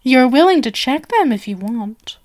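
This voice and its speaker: A woman's voice: sits high, animated, normal speed, a little mellow. A woman playing a genial but sinister stage magician, possessive and doting, with flowery, coaxing endearments in an ASMR roleplay. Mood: playful, teasing.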